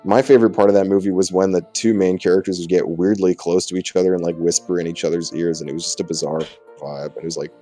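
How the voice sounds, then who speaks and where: A man's voice: deep voice, fairly even intonation, fast, hardly any pauses, mellow, faint music behind. A young man chatting casually and jokingly with a friend as a let's play host, sweary and sardonic.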